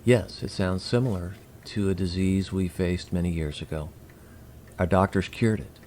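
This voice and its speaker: A man playing a polite, curious alien emissary in an audio drama, with a gravelly voice and formal, measured, precise speech. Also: sits low, fairly even intonation, a little mellow, a little hiss or hum, natural voice. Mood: earnest, measured.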